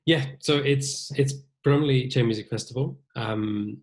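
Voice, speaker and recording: A man's voice: medium pitch, moderate pace. A young man from New Zealand with a British accent, talking thoughtfully as a violinist guest in an interview, often restarting his sentences. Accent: British English. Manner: thoughtful, many restarts and fillers. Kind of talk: casual interview conversation.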